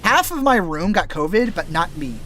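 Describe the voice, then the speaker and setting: A man with a fairly high, slightly raspy voice rambles casually during a game stream, trailing off and restarting his sentences. His voice sounds worn from recent voice work. A faint hiss sits under the recording. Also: very animated, moderate pace, sharp tone. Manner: chatty, loose, trailing restarts and asides. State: tired voice from lots of voice work. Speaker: VTuber streamer. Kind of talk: casual rambling while gaming.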